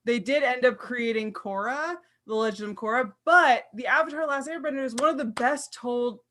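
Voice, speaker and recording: A woman's voice: fairly high pitch, normal speed, a bit bright, slight background noise. A woman talking casually as a co-host in a group discussion. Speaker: podcast co-host.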